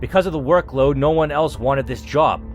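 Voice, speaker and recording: A man reading aloud as a bitter, reclusive male IT worker in a story game, in a resentful, low-key way. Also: normal speed, faint music behind, close to an everyday voice.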